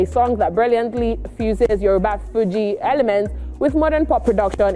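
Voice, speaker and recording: A woman with a Nigerian accent presenting entertainment news and casual commentary, expressive and emphatic in her delivery. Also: mid-range voice.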